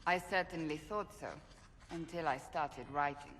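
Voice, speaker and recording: An actress playing a stern, devout, earnest warrior in a video game, speaking formally. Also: female voice, sits low, moderate pace, a bit bright. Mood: pensive, earnest. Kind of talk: video game dramatic acting. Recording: long reverb, background noise.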